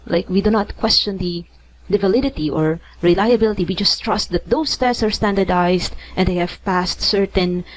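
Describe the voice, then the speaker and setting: A woman's voice: mid-range voice, moderate pace, slight background noise, poor audio. A woman from the Philippines with a Filipino accent, giving a conversational lecture, thinking aloud with restarts and tag questions. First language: not English. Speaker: teacher. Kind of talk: online lecture.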